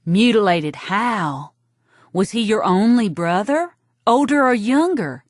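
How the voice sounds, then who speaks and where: A woman's voice: mid-range voice, expressive, takes it slowly, no room sound, studio quality, voice pushed up. A woman voicing a melodramatic, self-pitying young would-be model who is nosy and speaks with theatrical intensity. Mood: stunned, intense.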